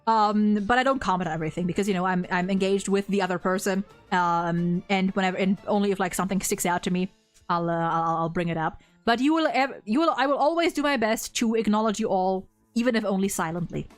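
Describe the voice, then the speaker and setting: A woman hosting a livestream, chatting casually and excitably and swearing freely. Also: medium pitch, fairly quick, soft background music. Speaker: streamer host.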